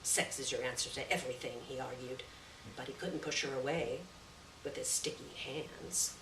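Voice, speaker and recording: A woman reading a literary short story aloud in a measured, deliberate way, with pauses between phrases. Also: rumbling low, steady tone, a bit bright. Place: roomy venue at a live reading. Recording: echoey room, distant mic, background noise.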